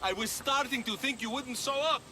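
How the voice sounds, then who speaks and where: An actor playing a wily aging former mercenary, an old friend, in a video game. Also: male voice, very high voice, moderate pace. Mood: pleading, friendly.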